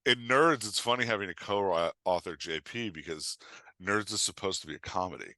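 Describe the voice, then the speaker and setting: A man's voice: sits low, lively intonation, normal speed, pushed and bright, no echo. A middle-aged man speaking as an author and writing coach, explaining and telling stories conversationally with an emphatic, opinionated manner. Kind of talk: animated conversational explanation and storytelling.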